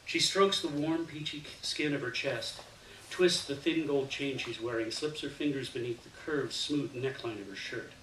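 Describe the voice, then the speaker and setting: A man reads a first-person literary story aloud as an expressive storyteller, performing the dialogue within the narration. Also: medium pitch, normal speed. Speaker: story reader. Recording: roomy, distant mic, faint hiss.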